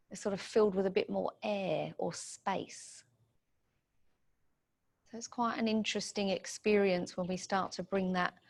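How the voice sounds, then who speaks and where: Woman gently guiding a meditation. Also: medium pitch. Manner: soothing, gentle instruction in short unhurried phrases. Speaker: meditation guide. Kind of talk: guided meditation.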